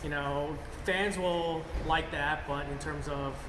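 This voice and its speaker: A man co-hosting a casual film review, speaking informally and haltingly, repeating himself for emphasis. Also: a little high, fairly even intonation. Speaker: movie review co-host. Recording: echoey reverberant room, clear background noise.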